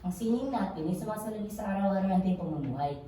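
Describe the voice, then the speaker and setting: A woman's voice: medium pitch, animated, quick pace, warm tone, lightly acted. A woman playing a helpful student in a school stage play, explaining plainly. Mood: explanatory. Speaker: actor in a school one-act play. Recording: very echoey hall, distant mic.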